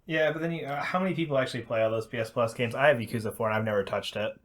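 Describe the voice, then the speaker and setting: Male gaming podcast co-host, rapid conversational delivery, average pitch, drily skeptical. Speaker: video game podcast co-host. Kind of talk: casual chat about gaming news.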